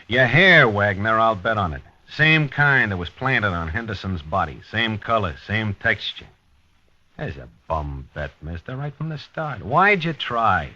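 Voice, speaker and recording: Hard-edged, bright-voiced American police lieutenant. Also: male voice, on the low side, expressive, a little slow, no echo, full character voice. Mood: blunt, pressing. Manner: clipped, colloquial hard-boiled delivery. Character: dogged, weary, blunt male police lieutenant. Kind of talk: 1950s radio drama acting and first-person narration. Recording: dull vintage 1950s radio recording.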